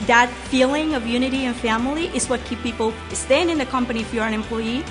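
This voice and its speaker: Woman from Panama, hotel employee, sincere testimonial, higher voice, music bed. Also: a little fast. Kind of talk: interview testimonial.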